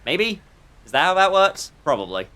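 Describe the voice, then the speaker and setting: A man's voice: high voice, very animated, normal speed, bright, forceful tone. A man giving casual, wry gameplay commentary as a streamer, joking at his own expense and thinking aloud. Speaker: streamer playing a game. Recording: faint game music behind.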